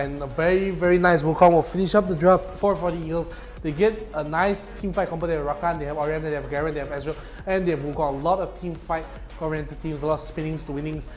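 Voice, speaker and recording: A young man casting live esports, chatty and slangy, pushing viewers to join in. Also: fairly high pitch. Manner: chatty, slangy, promotional asides. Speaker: esports caster.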